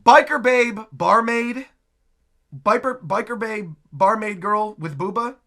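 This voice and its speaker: A host giving casual reaction commentary on game trailers in an excitable, exclamatory way, often repeating phrases. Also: mid-range voice, takes it slowly.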